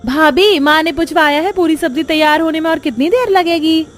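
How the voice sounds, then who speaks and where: A woman's voice: very high-pitched, moderate pace, faint music behind, pitched above the performer's own voice. A woman voicing a lazy, teasing young sister-in-law in a cartoon, pert and whining. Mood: whiny.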